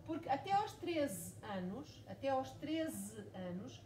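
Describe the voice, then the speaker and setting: A woman's voice: on the high side, slow pace. Emphatic woman in an echoey bookshop. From Mozambique. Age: 40s. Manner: emphatic and repetitive, animated storytelling. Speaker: author guest. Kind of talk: conversation at a live book event. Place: bookshop event room. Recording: echoey room, far mic, noisy.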